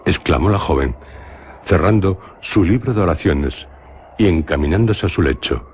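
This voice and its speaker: A man with a Spanish accent narrating a suspenseful radio drama in a slow, grave, measured way. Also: rumbling low, a little mellow, a little hiss or hum. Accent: Spain.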